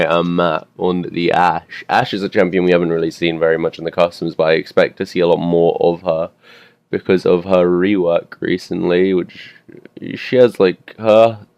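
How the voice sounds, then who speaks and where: A young man commentating a custom game he also plays in, his voice a bit hoarse, rambling and correcting himself as he goes. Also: low-pitched, no echo, lo-fi sound. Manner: rambling, unsure, self-correcting, filler-heavy.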